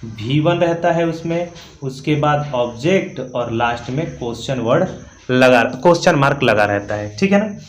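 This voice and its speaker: A man's voice: medium pitch, expressive, moderate pace. A man teaching grammar step by step, repeating key points and checking in often with short tags. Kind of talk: classroom-style grammar lesson, explaining rules step by step. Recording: echoey room.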